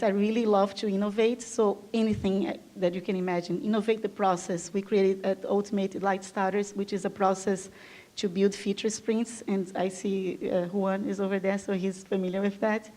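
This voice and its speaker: A woman's voice: mid-range voice, fairly even intonation, moderate pace, mellow. A woman from Brazil whose first language is Portuguese, giving an enthusiastic, chatty conference talk to a live audience, with frequent fillers. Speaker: guest speaker. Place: event room with live audience.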